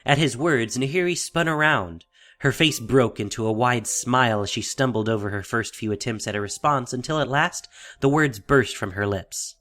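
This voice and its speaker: A man narrating a fantasy audio drama in a deliberate, theatrical storytelling style. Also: medium pitch, a bit bright. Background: music.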